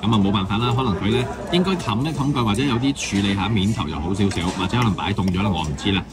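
A man with a fairly low voice talks through a meal in a casual review voice-over. His pitch rises and falls a lot as he muses out loud, questioning and mildly critical of what he eats. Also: expressive, rapid-fire, a crowd in the background. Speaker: food reviewer.

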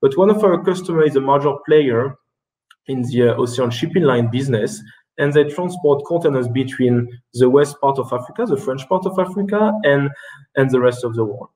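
A man in his thirties giving a business presentation over a video call and answering questions, speaking haltingly with frequent restarts and fillers. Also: medium pitch, animated, a little mellow, poor audio. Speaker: keynote speaker. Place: indoor room.

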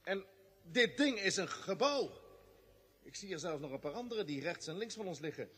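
Lively, bright-voiced space expedition leader. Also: male voice, high voice, moderate pace, breathy edge, exaggerated voice. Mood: observing intently. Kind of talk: vintage Dutch radio drama acting. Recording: old radio recording, long hollow reverb.

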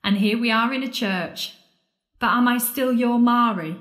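Bitter, scornful woman, high-voiced. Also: measured pace, fairly bright tone. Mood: sardonic. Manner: sardonic, accusing. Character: bitter, scornful woman confronting her lost love. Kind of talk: audio drama acting. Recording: roomy, echoing space.

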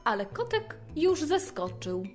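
A woman narrating a rhymed fairy tale in an expressive, theatrical storytelling manner. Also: high-pitched, a little slow, soft background music.

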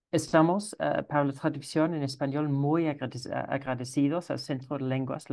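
A man moderating an online consultation session over a video call, speaking haltingly with frequent restarts. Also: mid-range voice, gravelly, darkish tone.

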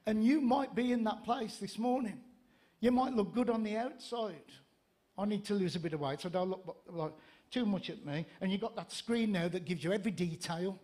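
A man in his fifties with a north-east English accent, preaching as a pastor in an animated, conversational storytelling way into a handheld microphone. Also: high-pitched, normal speed. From Gateshead, north-east England. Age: 50s. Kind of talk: sermon with humorous anecdotes. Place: church stage, indoors.

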